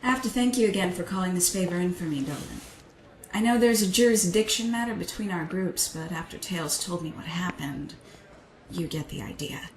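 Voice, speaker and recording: A woman's voice: lowish voice, fairly quick, natural voice. A woman playing a blunt, loyal, sassy team leader in an audio drama, familiar in manner. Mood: frank, friendly. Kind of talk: fan audio drama acting. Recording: echoey room, faint noise.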